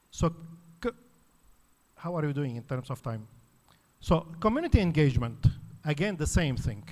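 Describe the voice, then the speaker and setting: A man from Lebanon, a native Arabic speaker, giving an academic conference talk in an explanatory way with frequent fillers and restarts. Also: a little high. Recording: reverberant hall.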